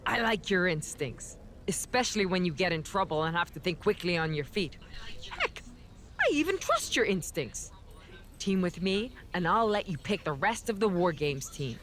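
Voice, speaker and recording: A woman's voice: on the low side, very animated, normal speed, fairly bright tone, a little hiss or hum. Confident, frank female wrestler. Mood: frank, approving. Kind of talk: scripted game cutscene dialogue.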